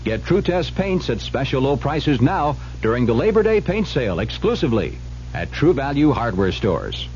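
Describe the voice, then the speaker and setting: Lively male radio ad announcer. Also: medium pitch, normal speed. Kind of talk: scripted 1970s retail radio ad. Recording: muffled, noisy old radio ad.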